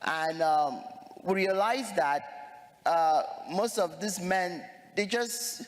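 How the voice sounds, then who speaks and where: An earnest young man from Cameroon speaks on a conference panel, his voice sitting a little high. He hesitates often with fillers. A long hall reverb trails behind every word. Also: slow. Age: young adult.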